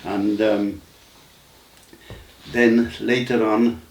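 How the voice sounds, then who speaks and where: A man, recalling his memories in a casual, halting way with many restarts. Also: fairly low pitch, flat delivery, a little mellow, a little hiss or hum. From Wales. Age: 90s. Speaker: interview guest. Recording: roomy sound from a distant mic.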